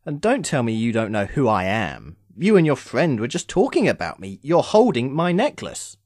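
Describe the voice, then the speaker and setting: A man acting as an immortal sorcerer in an audio drama, with a very lively, swooping delivery in a mid-range voice. He is urbane and sardonic, phrasing things formally with impatient wit. The sound is close and dry. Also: mid-range voice, normal speed, dry recording. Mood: smug, sardonic. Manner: wry, formal, theatrical phrasing.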